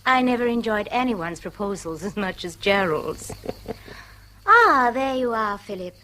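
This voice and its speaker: An actress playing a lively, pleasure-loving young hostess in a radio play, teasing and a touch nervy. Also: female voice, medium pitch, a little slow, rough recording. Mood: breezy, teasing. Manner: breezy, teasing.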